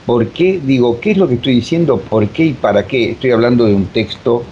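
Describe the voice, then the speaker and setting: A mature man with an Argentine accent explaining reflectively as an interview guest, with emphatic repetition and deliberate pauses. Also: mid-range voice, a little mellow. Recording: dull lo-fi sound, faint noise.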